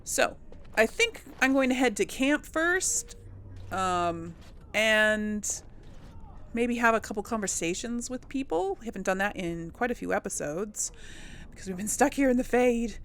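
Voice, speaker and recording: Slow-paced woman hosting a game playthrough. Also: on the high side, animated, fairly bright tone, slight background noise. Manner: chatty, informal. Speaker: let's play host. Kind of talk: casual gameplay commentary with role-played replies.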